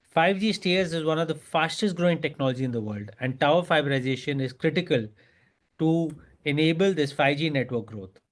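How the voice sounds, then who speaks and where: A man's voice: a little high, expressive, fairly quick. A man with an Indian accent reads a prepared earnings presentation in a formal, scripted manner as a company executive.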